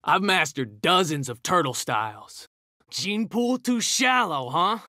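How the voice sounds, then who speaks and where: Bright, cocky New turtle brawler. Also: male voice, sits high, big pitch swings, unhurried, no room sound, studio quality, heavily acted. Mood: cocky, taunting. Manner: brash, slangy trash talk. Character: cocky hot-tempered young male mutant ninja turtle brawler. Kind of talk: video game fighter taunts.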